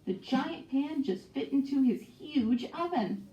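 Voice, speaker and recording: Echoey, high-pitched woman reading a storybook. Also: slow pace. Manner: expressive, voices dialogue. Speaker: storybook read-aloud narrator. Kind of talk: scripted children's storybook narration. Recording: dull, echoey, distant microphone.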